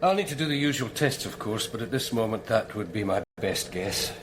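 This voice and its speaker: An actor playing a wry senior Scottish forensic pathologist in a radio drama, speaking in a measured, clinical way. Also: male voice, on the low side, expressive, close to an everyday voice. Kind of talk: naturalistic radio drama acting. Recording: clearly noisy background.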